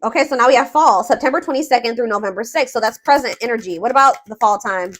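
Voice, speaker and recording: High-voiced woman giving a casual tarot reading. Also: quick pace, a bit bright. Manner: informal, conversational, searching for words aloud, emphatic. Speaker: tarot reader, host.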